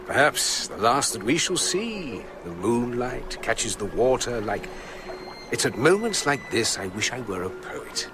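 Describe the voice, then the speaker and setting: An actor playing a pious, poetic old gentleman in a radio drama, genteel and effusive. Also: mid-range voice, sing-song, unhurried, noisy background. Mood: serene.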